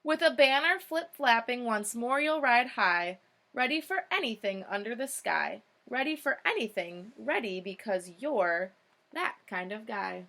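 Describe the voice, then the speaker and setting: A woman's voice: fairly high pitch, lively intonation, measured pace, sharp tone. A young woman, a teacher, reading a children's picture book aloud expressively, with short informal asides.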